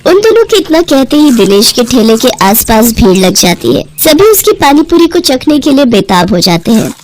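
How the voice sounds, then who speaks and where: A woman's voice: high-pitched, big pitch swings, moderate pace, darkish tone, a little hiss or hum. A woman narrating a scripted moral story as its storyteller.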